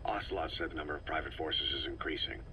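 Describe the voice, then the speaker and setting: Very deep, terse soldier boss. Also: male voice, fast talker. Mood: troubled, questioning. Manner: terse, grave, probing. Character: terse, brooding male soldier boss questioning his comrade. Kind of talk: dramatic video game voice acting. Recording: faint noise, cassette tape recording.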